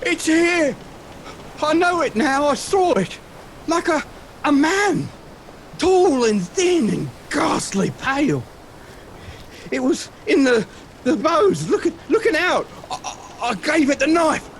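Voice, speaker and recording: An actor playing a terrified, raving mad ship's mate in a horror radio play, with a strained high voice. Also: male voice, big pitch swings, slow, a little hiss or hum, exaggerated voice. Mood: frantic terror. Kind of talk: theatrical horror radio acting.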